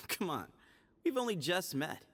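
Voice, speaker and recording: A man's voice: high-pitched, big pitch swings, unhurried, pushed and bright, slightly rough, full character voice. An actor playing a sly, teasing and evasive young man from the desert in a game cutscene. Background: music score.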